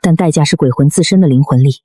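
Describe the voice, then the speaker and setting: A man playing an earnest, studious researcher who explains things in an animated web drama. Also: on the high side, fast talker, no room sound, lightly acted.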